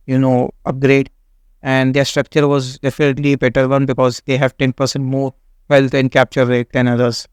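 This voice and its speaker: A man, not a native English speaker, hosting a game walkthrough in a halting way with many fillers and restarts. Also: medium pitch, clear, resonant tone, dry recording. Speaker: gaming channel host. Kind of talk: casual game walkthrough commentary.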